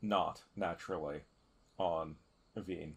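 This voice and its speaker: A man with a very low voice plays a tabletop game in character. His phrasing is formal and deliberate. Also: steady tone, creaky, slightly rough. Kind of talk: in-character roleplay.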